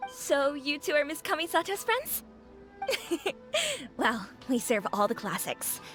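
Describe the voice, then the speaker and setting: A woman's voice: sits high, big pitch swings, takes it slowly, a bit bright, faint music behind, exaggerated voice. A woman playing a friendly, chatty young restaurant keeper in a video game, with a cheerful, confiding manner.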